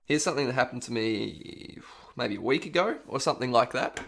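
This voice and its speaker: A man's voice: mid-range voice, expressive, brisk, vocal fry, heavy background noise. A man co-hosting a casual podcast, rambling through absurd theories and laughing often. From Australia. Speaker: podcast co-host. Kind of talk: casual chat.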